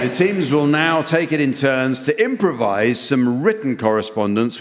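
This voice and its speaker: A man hosting a comedy panel game as chairman in front of a live audience. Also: mid-range voice. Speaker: guest chairman of a radio panel show. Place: stage before a live audience. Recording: hall echo with audience behind.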